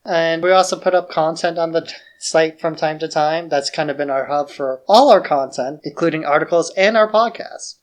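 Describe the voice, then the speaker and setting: A man's voice: fairly high pitch, moderate pace, hardly any pauses. A man talking in casual chat as the co-host of a podcast.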